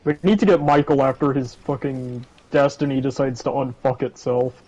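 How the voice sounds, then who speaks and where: A man's voice: medium pitch, steady tone, normal speed, dark tone. A man chatting casually during an online game, blunt, teasing and swearing often, over lo-fi voice chat. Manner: blunt, foul-mouthed, teasing. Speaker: gamer in a co-op session. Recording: dull, lo-fi voice chat audio.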